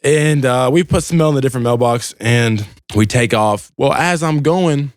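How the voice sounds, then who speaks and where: A man's voice: mid-range voice, normal speed, dry recording, studio quality. A young man telling casual, comical stories in an informal, slangy, meandering way. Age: young adult. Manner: informal, meandering, slangy, quotes dialogue in retellings. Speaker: podcast storyteller.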